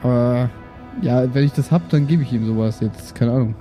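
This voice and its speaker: Relaxed dark-toned guy playing pen and paper, casual chat, music faint behind. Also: mid-range voice, normal speed. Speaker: tabletop game player.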